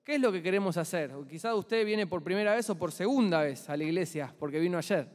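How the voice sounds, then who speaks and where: A man's voice: sits high, animated, fairly quick, in a large hall. A young man with an Argentine Spanish accent, preaching a chatty sermon and asking the congregation questions. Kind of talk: conversational sermon. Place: church hall.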